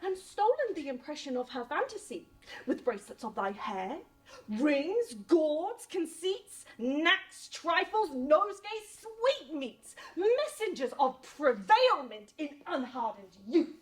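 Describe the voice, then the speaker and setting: Woman doing indignant shakespeare dad, high voice, slow accusing declamation. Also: sing-song, full character voice, low-quality recording. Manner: declamatory, accusing, drawn-out delivery. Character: indignant, vexed father demanding obedience from his daughter. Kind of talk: Shakespearean monologue performance.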